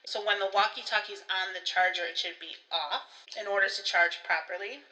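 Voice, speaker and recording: A woman with a very bright, forceful voice talks through instructions like a trainer. She spells out each step in a conversational way and gives examples as she goes. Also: medium pitch, fairly even intonation, moderate pace, poor audio. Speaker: co-host, school staff trainer.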